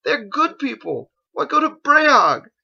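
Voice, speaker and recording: A man's voice: very high voice, very animated, slow pace, creaky, bright, forceful tone, muffled recording, voice pushed up, full character voice. A man voicing an anxious, indignant male squire who protests and pleads with his master in an audiobook story. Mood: pleading. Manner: exclaiming, incredulous, protesting.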